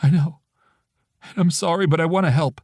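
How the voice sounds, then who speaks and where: A man's voice: on the high side, a little fast, a little mellow, no room sound, clean studio sound, voice pushed up. A man voicing a desperate young woman who pleads on the phone through tears, her voice breaking. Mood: tearful.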